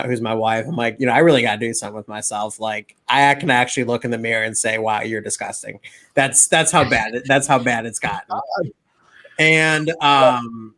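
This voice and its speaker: Co-host: thirties man, mid pitch, loose and rambling, pokes fun at himself. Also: bright, forceful tone. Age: 30s. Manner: rambling storyteller, self-deprecating. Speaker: podcast co-host. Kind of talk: casual podcast chat.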